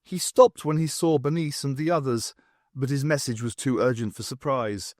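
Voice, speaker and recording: A man's voice: medium pitch, normal speed, no echo, clean studio sound. A man reading a science fiction story aloud as scripted audiobook narration. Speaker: audiobook reader.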